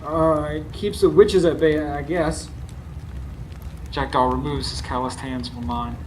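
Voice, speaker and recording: A man's voice: on the high side, fairly even intonation, a little slow, lightly acted. A man playing a wry, uneasy young working man who narrates his own story in a live radio drama. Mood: nervous, startled. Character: narrator hero, nervous, skeptical, unschooled young working man. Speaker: narrator and lead character. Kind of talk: first-person radio drama narration and dialogue. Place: stage before a live studio audience. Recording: live stage recording with clear background noise.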